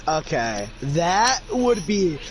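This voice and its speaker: A man's voice: on the high side, very animated, drawn-out words, slight background noise. A man doing a casual amateur fanfiction reading, with informal, opinionated commentary. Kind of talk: casual amateur read-aloud with chatty commentary.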